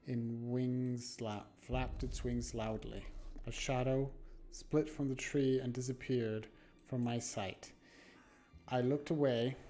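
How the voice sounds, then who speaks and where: Slow-paced man reading horror aloud over music. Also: medium pitch, warm tone. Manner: halting dramatic reading with stumbles and self-corrections. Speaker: video game streamer. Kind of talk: live read-aloud of a horror visual novel with asides. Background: background music.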